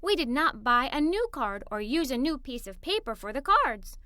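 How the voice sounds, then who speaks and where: A woman reading a scripted children's story aloud in an animated, expressive way. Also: high voice, moderate pace, clear, resonant tone, dry recording. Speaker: story narrator. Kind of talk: scripted children's story read-aloud, first person as a young girl.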